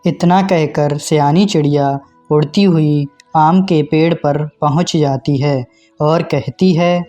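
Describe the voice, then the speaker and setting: A man's voice: fairly high pitch, slow, mellow, highs cut off, soft background music. A man narrating a scripted children's cartoon story.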